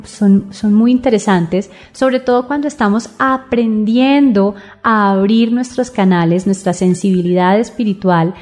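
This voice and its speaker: A woman with a soft-edged, mellow voice at a middle pitch teaches an informal spiritual lesson. She explains things by restating each idea in several similar words. Faint music plays behind her.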